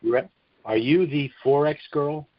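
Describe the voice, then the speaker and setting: A man's voice: a little high, normal speed, dry recording. A man hosting a casual call-in chat, talking in a folksy, agreeable way over a conference phone line. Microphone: telephone conference line.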